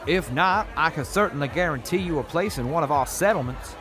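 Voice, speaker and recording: A weary militia major speaks in a measured, rueful way, played by a man. The voice sits a little high, with a faint crowd murmur behind it. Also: lively intonation, music in the background, natural voice. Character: weary male militia major, self-reflective. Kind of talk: audio drama acting.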